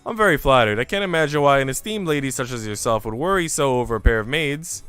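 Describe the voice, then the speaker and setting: A man's voice: mid-range voice, animated, quick pace, a bit bright, clean tone, dry recording, soft background music, close to an everyday voice. A man voicing a cool, protective housemaid in a visual novel, composed and politely sardonic. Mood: coolly polite. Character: cool, calm, protective housemaid, defiant and dry.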